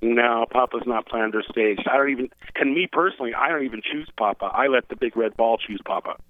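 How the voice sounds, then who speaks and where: A male stage performer who is a guest on a radio show, telling lively anecdotes over the phone and laughing as he talks. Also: medium pitch, fairly quick, dry recording. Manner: animated storyteller who laughs while talking. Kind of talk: phone interview. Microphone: telephone. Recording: tinny phone line.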